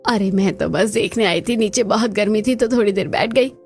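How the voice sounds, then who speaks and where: A woman's voice: medium pitch, moderate pace, darkish tone, natural voice. A woman voicing housewives and mothers-in-law in a family cartoon, chatty and exclamatory. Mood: excited, teasing. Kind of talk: scripted cartoon dialogue.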